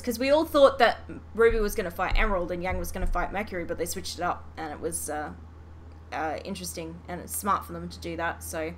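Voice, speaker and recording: A woman's voice: medium pitch, a bit bright. A woman hosting a podcast, chatting casually and expressively about a show, with some swearing. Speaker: podcast host. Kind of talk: casual fan chat reviewing a show.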